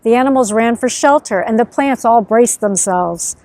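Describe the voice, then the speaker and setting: A woman's voice: on the high side, moderate pace, darkish tone, no room sound. A middle-aged woman narrating as a storyteller, reading aloud to children outdoors. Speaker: host, storyteller and author. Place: outdoors under a tree by a field.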